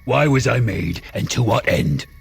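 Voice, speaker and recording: A man playing a wretched, vengeful man-made monster in an audio drama, speaking in grandiose, archaic, deliberate phrases. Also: mid-range voice, animated, gravelly, husky, faint music behind, full character voice. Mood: bitter, accusing.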